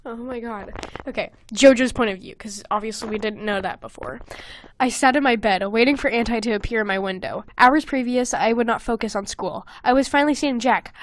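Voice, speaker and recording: A young woman hosting a podcast in casual comedic chat, reading aloud and commenting with animated, sweary exasperation. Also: fairly high pitch, brisk. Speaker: podcast host.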